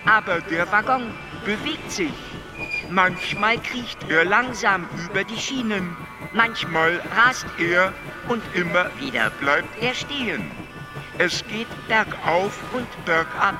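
Calm alien for a radio play, pretty high voice, matter of fact, stutters sometimes, music behind. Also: male voice, low-quality recording. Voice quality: stuttering, glitchy repeated syllables. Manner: calm, explanatory, detached. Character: detached, matter-of-fact stammering alien being. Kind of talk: radio drama acting. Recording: music under the voice.

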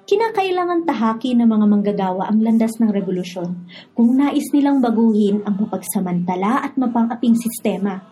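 A woman's voice: on the high side, animated, a little fast, warm tone. A woman with a Filipino accent hosting a radio drama series and reading scripted narration. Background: background music.

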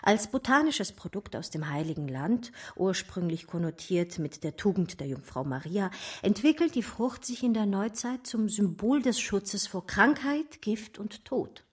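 An actress playing an educated art historian who recounts her love story in a radio drama, measured and eloquent. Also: mid-range voice, animated, husky, dry recording, studio quality. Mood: measured, scholarly. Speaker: narrator and lead character. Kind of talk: literary radio drama narration.

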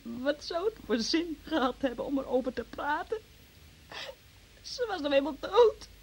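A woman's voice: high-pitched, animated, moderate pace, a little hiss or hum. An actress playing a frightened, defensive young woman under questioning in a radio play, fearful and tearful in manner. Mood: fearful, subdued. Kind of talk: Dutch radio play acting.

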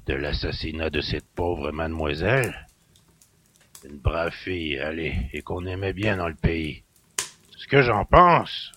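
A man voicing a surly, grumbling middle-aged country innkeeper with rustic speech and a gravelly growl. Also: very deep voice, expressive, a little slow, pushed and bright, slight background noise, voice pushed down, heavily acted. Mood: guarded, grumbling.

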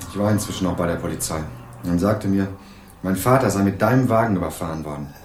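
An actor playing a young student who narrates in a dry, wry tone and questions people persistently. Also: male voice, low voice, fast, a little mellow, slight background noise, natural voice. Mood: troubled. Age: young adult. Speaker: first-person narrator and student. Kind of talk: scripted crime radio play narration.

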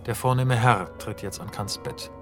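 Calm male radio play narrator. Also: fairly low pitch, normal speed, over music. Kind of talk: scripted narration of stage directions.

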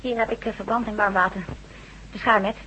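A woman plays a practical, caring nurse in a radio drama. She speaks at a middling pitch, matter-of-fact and firm but soothing. The recording carries clear noise. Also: steady tone, brisk, bright, forceful tone, close to an everyday voice. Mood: brisk, soothing. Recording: noisy old-style radio recording.

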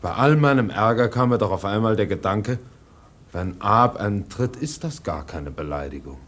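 A proud whaling ship's mate in a radio play. The voice is husky and fairly low. He speaks bluntly and earnestly, with plain emphasis. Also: expressive, moderate pace, fairly bright tone. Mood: bewildered, confiding. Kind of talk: German radio drama acting.

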